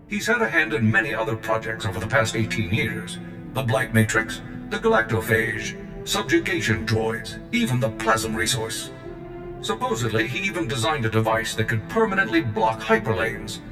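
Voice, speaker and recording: A man plays a loyal combat droid with a low voice, proud and zealous. Every word is formal and precise, like a military report in a video game. The sound is echoey, with music behind it. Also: natural voice. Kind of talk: scripted video game acting. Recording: game cutscene with echo and music.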